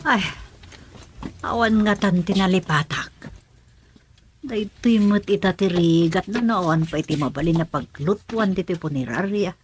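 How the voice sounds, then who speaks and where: A woman's voice: on the low side, a little slow, slight background noise, close to an everyday voice. A woman acting a fussy, grumbling housewife in a radio comedy-drama.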